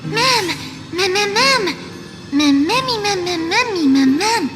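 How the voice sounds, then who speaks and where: A woman voicing a tiny, eager, cute bunny-like creature in a video game, squeaky and childlike. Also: expressive, slow, over music, full character voice. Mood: excited chirping.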